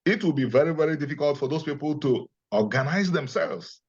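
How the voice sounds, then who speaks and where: A man's voice: fairly high pitch, animated, husky. Earnest Igbo-accented man, lo-fi audio. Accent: Nigerian Igbo. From Nigeria, Igbo land. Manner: earnest, emphatic, deliberate. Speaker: scholar and interview guest. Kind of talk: interview, lecturing. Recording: dull lo-fi audio.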